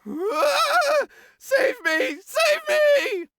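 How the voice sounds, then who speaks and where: A man's voice: extremely high pitch, sing-song, drawn-out words, bright, forceful tone, no room sound, raised voice, clean studio sound, heavily acted. An actor playing a nervous, cowardly young man in a video game, stammering and pleading. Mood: terrified.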